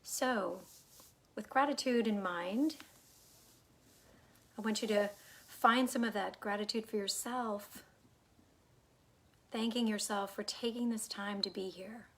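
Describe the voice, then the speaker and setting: A woman leading a guided meditation in a calm, unhurried, soothing way, her soft phrases trailing off into breathiness. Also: mid-range voice. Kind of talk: guided meditation, gentle instruction.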